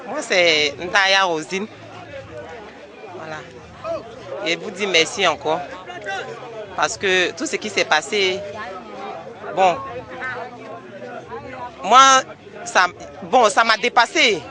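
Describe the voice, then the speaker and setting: A woman with an Ivorian accent, a local resident giving halting testimony outdoors. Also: medium pitch. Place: outdoors in a town. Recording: outdoor, faint crowd behind.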